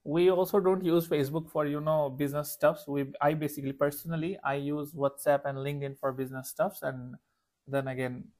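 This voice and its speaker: A young man from Bangladesh with a Bangladeshi accent, a freelance ad marketer earnestly pitching his services to a client on a call. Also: flat delivery, a little fast, darkish tone. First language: Bengali. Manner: earnest, reassuring, fillers of "you know". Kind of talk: sales call.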